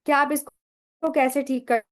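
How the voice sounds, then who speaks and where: A woman's voice: high voice, steady tone, a little slow. A woman with a Pakistani accent giving an online talk over a video call, mixing English phrases into her Urdu in a chatty, teacherly way. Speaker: online session speaker.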